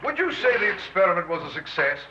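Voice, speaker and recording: A man's voice: high-pitched, very animated, fast talker. An actor playing a doctor's earnest, questioning male assistant in a 1960s radio drama. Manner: earnest, inquiring. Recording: muffled, noisy old radio recording.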